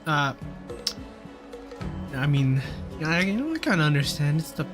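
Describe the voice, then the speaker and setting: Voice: mid-range voice, sing-song, unhurried. A livestreamer giving casual, offhand commentary while playing a game, reacting aloud to the dialogue. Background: game music.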